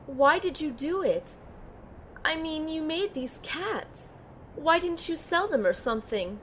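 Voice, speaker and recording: A woman acts a tender-hearted young heroine in an audio drama. Her voice is fairly high, and she speaks slowly and earnestly as she tells her story. Faint noise sits behind her. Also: lively intonation, a bit bright. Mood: hesitant, concerned. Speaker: narrator and lead character. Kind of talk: first-person audio drama narration and dialogue.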